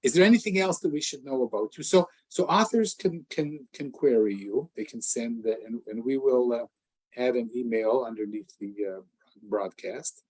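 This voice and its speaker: A man's voice: medium pitch, moderate pace, a little mellow, poor audio. An older man interviewing a guest in a casual, effusive way, stumbling through many restarts. English is his first language.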